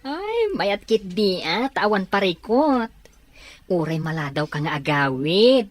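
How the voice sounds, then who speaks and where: A woman's voice: medium pitch, very animated, slow pace. A woman voicing a carefree male buddy who coaxes his friend into a night out. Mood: coaxing. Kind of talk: amateur audio drama acting. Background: faint music.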